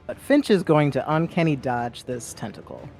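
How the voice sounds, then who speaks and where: Expressive, playful tabletop player. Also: mid-range voice, moderate pace. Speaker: tabletop role-play player. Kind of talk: playful tabletop role-play. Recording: music clearly behind the voice. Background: background music.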